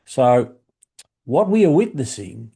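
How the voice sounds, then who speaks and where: Husky, mellow Australian man explaining. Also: mid-range voice, big pitch swings, slow. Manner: instructive, uses rhetorical questions. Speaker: presenter of a shortwave radio listening channel. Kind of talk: scripted explainer narration.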